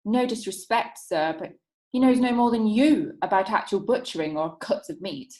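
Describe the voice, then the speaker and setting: A woman's voice: mid-range voice, expressive, moderate pace, darkish tone, close to an everyday voice. Woman playing a crafty defence lawyer, echoey room. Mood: deferential, sly. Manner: formal, insinuating. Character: crafty, insinuating female defence lawyer in 1910s court. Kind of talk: theatrical courtroom play acting.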